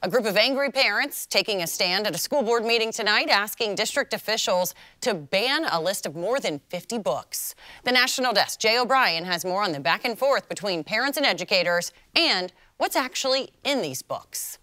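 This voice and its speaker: A woman's voice: medium pitch, lively intonation, moderate pace, bright, forceful tone, no room sound. An American woman reading scripted television news as an anchor.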